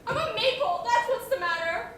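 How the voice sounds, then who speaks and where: A martyred, coaxing washing machine voiced by a woman in a student stage comedy, pleading in a very high voice with big melodramatic swoops. It sounds far off in an echoey hall with background noise. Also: animated, normal speed, a bit bright. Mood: martyred, self-pitying. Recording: distant mic, echoey noisy hall.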